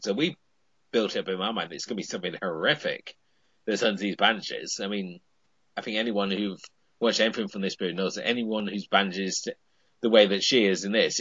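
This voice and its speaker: A man's voice: lowish voice, fairly bright tone, husky, no room sound, dull audio. A man on a podcast, casually retelling a television episode with frequent "I mean" fillers.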